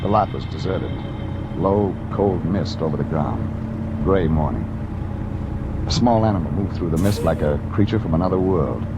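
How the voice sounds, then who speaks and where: An actor playing a tough, sardonic private detective in 1940s radio style, with a clipped, deadpan, wisecracking delivery. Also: male voice, low-pitched, normal speed, muffled recording. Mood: grim, deadpan. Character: tough, sardonic male private detective, hard-boiled and impatient. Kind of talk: 1940s hard-boiled radio drama acting, first-person narration. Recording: old radio show under loud truck-cab noise. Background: loud vehicle road and engine noise.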